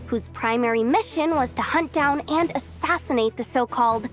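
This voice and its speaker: A brisk young woman from a fantasy game, as a woman voices her, rattling off her news in a chatty way. Her voice sits high, with faint game music underneath. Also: close to an everyday voice.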